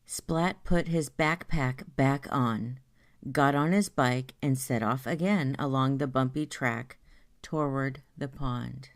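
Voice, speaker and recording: A woman reading a children's story aloud in a deliberate, expressive way. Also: extremely low pitch. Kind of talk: read-aloud story narration.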